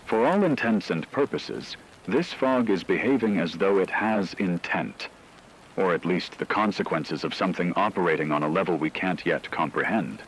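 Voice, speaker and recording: A man playing a serious, measured news anchor in a scripted emergency radio broadcast drama. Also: deep voice, slight background noise, lightly acted, poor audio. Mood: grave, ominous. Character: grave male radio news anchor reporting a supernatural fog crisis.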